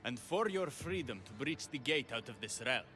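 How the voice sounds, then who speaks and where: An actor playing a resolute rebel commander in a dramatic video game scene. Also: male voice, medium pitch, animated, normal speed, bright, forceful tone, faint music behind.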